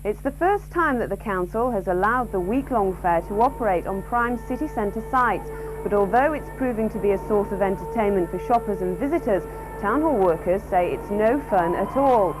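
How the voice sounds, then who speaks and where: A woman's voice: mid-range voice, animated, normal speed, music in the background. A woman reading a scripted regional news bulletin as a newsreader. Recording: noisy old TV broadcast, faint hum.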